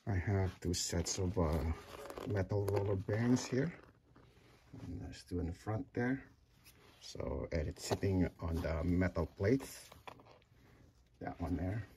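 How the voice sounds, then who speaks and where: A middle-aged man with a fairly low voice talks through his homemade build in a hesitant way, full of "uh"s and restarts. Faint background noise sits under his voice. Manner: halting, many fillers and restarts. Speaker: DIY builder. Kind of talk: casual build walkthrough. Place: home room with sim cockpit, indoors.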